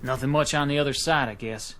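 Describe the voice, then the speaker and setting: A man's voice: medium pitch, fairly quick, a bit bright, slight background noise, lightly acted. An actor playing a dry, laconic pioneer man.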